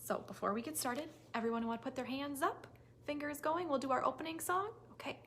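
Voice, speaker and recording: A woman with a fairly high voice leads story time for toddlers. She speaks in simple, expressive phrases, as a children's librarian reading aloud. The recording has noticeable noise. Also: brisk. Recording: noisy recording with clicks.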